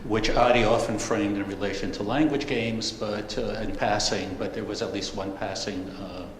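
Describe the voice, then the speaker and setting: Male audience questioner, low voice, hesitant and rambling, reverberant hall. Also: steady tone, normal speed. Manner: rambling, hesitant with many fillers. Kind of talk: question from the floor. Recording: echoey hall with long reverb, faint noise.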